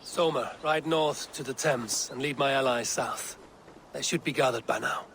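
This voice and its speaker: A calm, strategic Viking leader. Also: male voice, medium pitch, normal speed, vocal fry, a little hiss or hum. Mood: measured. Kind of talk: video game voice acting. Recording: game audio with music behind.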